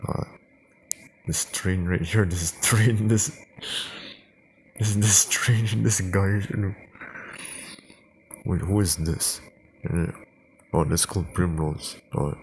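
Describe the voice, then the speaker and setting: A man chatting casually and haltingly, improvising as he goes and repeating words. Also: deep voice, steady tone. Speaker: prank call video creator, host. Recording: noisy home recording.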